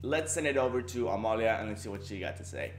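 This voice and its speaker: A young man hosting a casual vlog at home, energetic and playful. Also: on the low side, fast talker, echoey room, heavy background noise. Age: young adult. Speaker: host. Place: indoor home room, kitchen behind.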